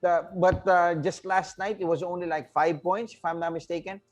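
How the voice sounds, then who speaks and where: A man's voice: high-pitched, level tone, normal speed, faint music behind. A man hosting a sports talk show, asking long, rambling questions to a coach. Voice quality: chatty, rambling questions with "if I'm not mistaken". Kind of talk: casual interview.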